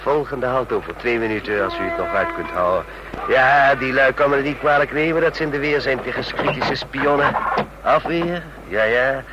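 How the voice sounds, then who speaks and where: An actor in a Dutch radio drama playing a chatty, smug train passenger obsessed with spy stories, talking in a knowing, needling way. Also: male voice, normal speed, muffled recording, lightly acted. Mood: gleefully needling. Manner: talkative, knowing, needling with rhetorical questions. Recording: noisy radio play with vehicle rumble.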